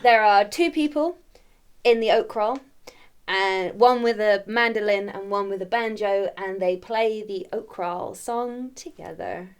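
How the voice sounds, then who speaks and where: A woman's voice: mid-range voice, measured pace, clear, resonant tone. A woman co-hosting a casual chat about a folk song, cheerful and giggly in conversation. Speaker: co-host, musician.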